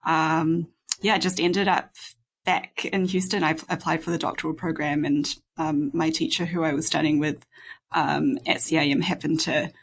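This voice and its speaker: A young woman with a New Zealand accent, chatting casually as an interview guest, laughing often and filling pauses with ums. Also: deep voice, level tone, husky. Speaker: guest, violinist and ensemble founder.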